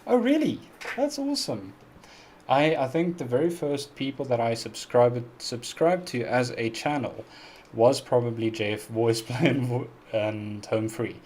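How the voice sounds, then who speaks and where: A man's voice: mid-range voice, lively intonation, unhurried, a little mellow, slight background noise. A South African man hosting a casual live-stream Q&A, chatty and earnest, who laughs often and pronounces his words very carefully. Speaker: music reaction YouTuber hosting a live stream.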